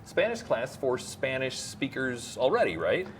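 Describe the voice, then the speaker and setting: A man's voice: mid-range voice, huge pitch swings, a little slow, slight background noise. A man hosting an interview show, chatting in a friendly way and using lots of fillers. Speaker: host.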